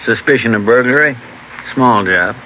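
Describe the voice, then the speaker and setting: An actor playing a plain, helpful police officer in a 1950s radio crime drama. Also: male voice, mid-range voice, normal speed, pushed and bright, natural voice. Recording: muffled, faintly noisy vintage radio recording.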